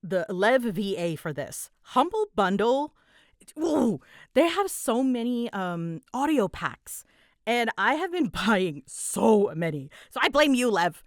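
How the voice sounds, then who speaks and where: A woman's voice: on the high side, sing-song, slow pace, dry recording, studio quality. A woman chatting casually and informally as a content creator answering listener questions, rambling in a self-deprecating way.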